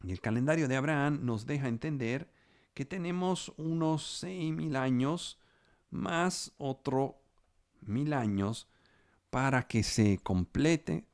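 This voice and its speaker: A man whose first language is not Spanish, teaching scripture slowly and deliberately, drawing out key numbers. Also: mid-range voice, expressive, darkish tone. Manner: slow and deliberate, stretching key numbers, with restarts. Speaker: preacher. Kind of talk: Bible teaching.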